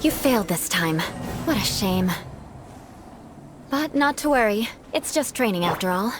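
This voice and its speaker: A woman's voice: mid-range voice, animated, a little slow, close to an everyday voice. An actress voicing a haughty, elegant young aristocratic woman warrior in a video game, speaking in a formal, condescending, poised way. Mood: disappointed, patient. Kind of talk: scripted video game character dialogue.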